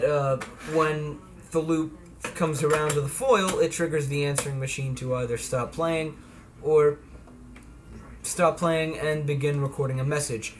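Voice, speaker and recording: A man's voice: on the high side, a little hiss or hum. A man giving a casual, folksy and playful product demonstration in a homemade video. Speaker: vlogger presenting vintage electronics.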